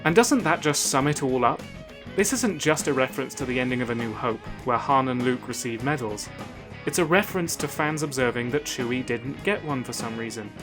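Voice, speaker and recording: A man delivers scripted video essay narration at a medium pitch. He sounds measured and analytical as he argues his case, with faint music behind him. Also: animated.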